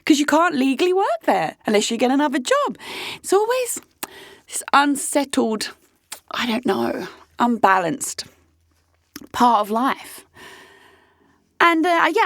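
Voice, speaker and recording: A woman in her late thirties hosting her own podcast, talking candidly and emphatically in a rambling way, her voice hoarse. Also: a little high, dry recording, clean studio sound. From United Kingdom. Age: late 30s. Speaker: podcast host. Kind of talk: casual monologue. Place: podcast studio.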